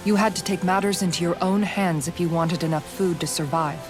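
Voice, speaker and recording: An actress playing an earnest, resolute young woman guard in a video game cutscene, speaking in a measured, formal, sincere way. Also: on the low side, fairly even intonation, over music, lightly acted. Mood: relaxed, reminiscing.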